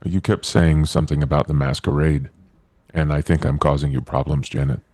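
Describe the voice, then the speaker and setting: A man playing a somber, wary, halting newborn vampire in a tabletop roleplaying game. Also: fairly even intonation, no echo. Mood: somber, uncertain. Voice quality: very deep, dark.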